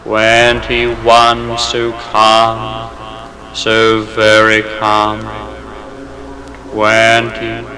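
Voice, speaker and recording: A man speaking as a hypnotist, counting down in a slow, soothing, repetitive way and then giving firm suggestions. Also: lowish voice, monotone, a crowd in the background, rough recording. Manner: commanding suggestions. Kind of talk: hypnosis induction from an old record. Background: music playing under the voice.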